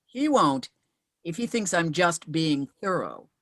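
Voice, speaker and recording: Woman playing determined middle-aged widow, low-pitched, very animated, screenplay drama, dry sound. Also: slow, no echo, exaggerated voice. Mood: sly, confiding. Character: widowed woman in her fifties, determined trainee investigator. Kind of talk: screenplay table read acting.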